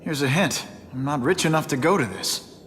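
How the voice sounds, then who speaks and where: An actor playing a quippy young superhero. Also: male voice, fairly high pitch, normal speed, heavy background noise, natural voice. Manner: quippy, energetic. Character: young male hero, wisecracking and upbeat. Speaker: photographer.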